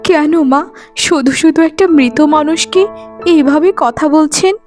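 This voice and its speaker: Woman acting a high-voiced, meek young wife. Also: normal speed, darkish tone, close to an everyday voice. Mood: hurt. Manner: pleading, deferential, earnest. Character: meek, dutiful young village daughter-in-law. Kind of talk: cartoon voice acting. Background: music.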